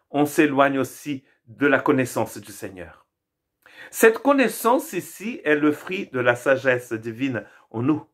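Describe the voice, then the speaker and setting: A man whose first language is Portuguese gives a daily Bible devotional as a pastor, earnest and preacherly, slowing down to stress key words. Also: medium pitch, a bit bright.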